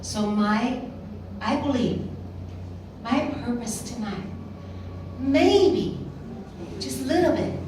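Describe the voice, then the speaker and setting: A woman's voice: high voice, expressive, takes it slowly, pauses often. A woman in her sixties with a Taiwanese accent gives a motivational talk to a live audience, conversational and often checking in with questions. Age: 60s. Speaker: motivational speaker at a direct-sales event. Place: indoor meeting room with a live audience. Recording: echoey room, distant mic, clear noise.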